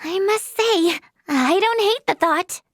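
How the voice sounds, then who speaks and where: An earnest young scholar and healer from a video game. The voice is very high and girlish, with expressive, bubbly delivery. The sound is dry and free of room echo. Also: slow. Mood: determined.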